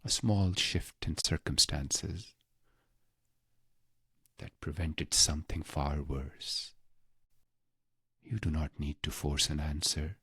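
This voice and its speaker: Male meditation narrator, unhurried and very breathy, husky, close and dry sound, scripted short phrases. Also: no room sound. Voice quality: low, breathy, husky voice with creak. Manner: hushed, unhurried delivery in short phrases with long pauses. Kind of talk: slow, scripted guided meditation.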